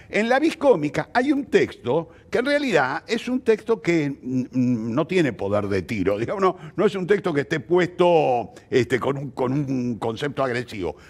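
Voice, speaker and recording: Animated Argentine man, higher-pitched voice. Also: moderate pace, hardly any pauses. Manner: expressive, illustrates with anecdotes and acted quotes. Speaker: theatre practitioner interviewed as guest. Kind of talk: conversational interview answer.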